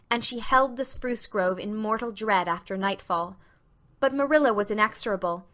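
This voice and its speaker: A woman narrating a novel as a dramatic reading, with expressive literary storytelling. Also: on the high side.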